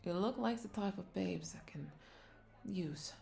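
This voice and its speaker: Flirty, creaky-voiced vampire bartender played by a woman. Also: mid-range voice, measured pace, a little mellow. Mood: seductive, teasing. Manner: flirtatious, teasing, breathy asides dropping into whispers. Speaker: audio roleplay voice performer. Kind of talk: intimate scripted audio roleplay.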